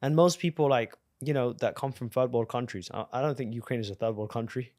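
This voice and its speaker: Profane, excitable podcast host. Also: male voice, mid-range voice, a little fast, no echo, studio quality. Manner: enthusiastic, profane, bro-talk. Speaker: podcast host and business coach. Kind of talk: casual interview conversation.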